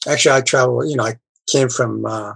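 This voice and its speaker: A man talking in a casual group discussion, halting and full of fillers and restarts. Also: medium pitch, fairly even intonation, vocal fry, fairly bright tone, breathy edge, dry recording. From abroad. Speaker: discussion participant.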